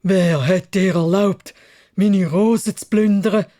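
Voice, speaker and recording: A woman voicing a grim, wrathful fairytale beast with a hoarse, croaking voice and a Swiss German accent, menacing and commanding. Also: mid-range voice, fairly even intonation, takes it slowly, warm tone, natural voice. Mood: furious. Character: grim, wrathful beast guarding its castle roses.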